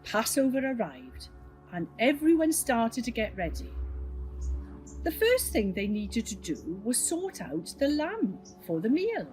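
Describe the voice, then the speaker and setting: Female children's story reader. Lightly high, very varied pitch, gentle pacing over faint music. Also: very animated, measured pace, low-quality recording. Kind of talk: expressive children's story reading.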